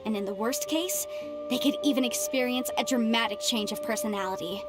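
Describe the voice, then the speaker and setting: A shrewd young female legal adviser with a high voice and lively, swooping delivery. She is articulate, formal and sure of herself, like a game heroine. Music plays loudly behind her. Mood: confident, explanatory. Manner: brisk, articulate, formal phrasing. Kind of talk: video game voice acting. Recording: game dialogue under loud background music.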